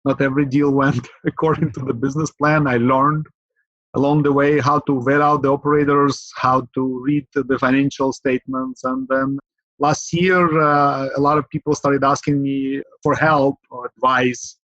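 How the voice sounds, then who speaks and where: A middle-aged man from Ukraine, a podcast guest, talking in an earnest, measured way with fillers, often asking "right?". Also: medium pitch, little pitch movement, a bit bright, no room sound, dull audio. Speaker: guest, real estate investor. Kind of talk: podcast interview.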